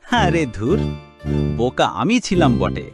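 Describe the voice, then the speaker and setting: A man voicing a stubborn, gullible, dreamy village farmer in an animated moral story, talking in rustic colloquial dialect. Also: fairly high pitch, a little slow. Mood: resolved. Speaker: voice actor. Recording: loud music under the voice.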